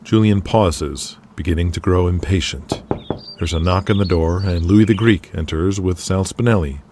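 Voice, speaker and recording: A man narrating an audio drama in a steady, matter-of-fact way. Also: low voice, normal speed, noisy background. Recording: music bed under the voice.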